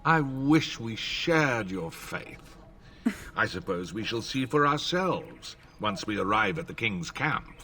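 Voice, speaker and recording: A man's voice: medium pitch, very animated, slow, gravelly, pushed and bright, full character voice. An actor playing a skeptical nobleman in a fantasy game, talking in a formal, doubting way.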